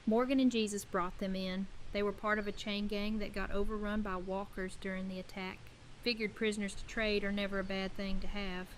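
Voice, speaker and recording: A woman's voice: medium pitch, flat delivery, fairly quick, hardly any pauses, slight background noise, close to an everyday voice, rough recording. A woman playing a weary, steadfast leader of survivors in an audio drama. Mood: matter-of-fact. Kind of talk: fan audio drama acting.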